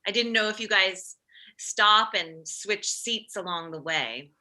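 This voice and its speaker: A woman's voice: medium pitch, takes it slowly, pushed and bright, poor audio. A woman playing a fretful, overprotective suburban mother who blurts out her worries in a comedy table read. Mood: worried. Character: anxious, overprotective suburban mother of a teenage boy.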